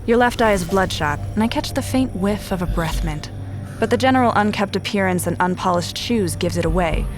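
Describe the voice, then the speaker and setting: An actress playing a sharp-eyed, no-nonsense female detective in a noir audio drama, deadpan and sardonic. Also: mid-range voice, a little fast, natural voice. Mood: cool, probing. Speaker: detective and narrator. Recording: music behind the voice.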